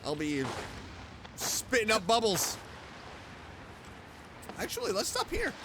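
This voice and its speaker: A man doing casual gaming commentary, chatting excitedly to himself and his game character. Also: high-pitched, slow, background noise. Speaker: streamer, video game let's player. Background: game music.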